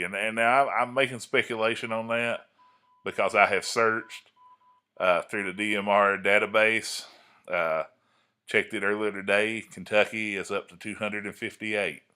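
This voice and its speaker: A man with a deep voice and a clear-edged, bright tone speaks. He presents an informal tutorial in a folksy, explanatory manner, pausing to check that the listener follows. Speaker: amateur radio hobbyist presenting a tutorial. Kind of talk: informal screen-recorded tutorial.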